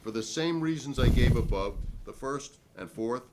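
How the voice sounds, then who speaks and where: A man's voice: mid-range voice, expressive, moderate pace, close to an everyday voice. A man reading the part of a defiant, principled witness at a 1950s hearing, formal and argumentative. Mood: defiant, firm. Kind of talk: dramatic reading of a hearing transcript. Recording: noisy live event recording.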